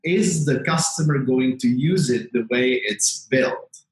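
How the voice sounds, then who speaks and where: A man's voice: medium pitch, measured pace, roomy sound, poor audio. A man of about forty from the Czech Republic with a Czech accent, talking conversationally as an interview guest and filling his stories with "you know" and "like". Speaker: interview guest, tech entrepreneur.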